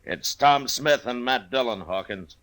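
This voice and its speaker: An American actor playing a stern, weary, steady Old West marshal in a 1950s radio western. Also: male voice, medium pitch, measured pace. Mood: commanding.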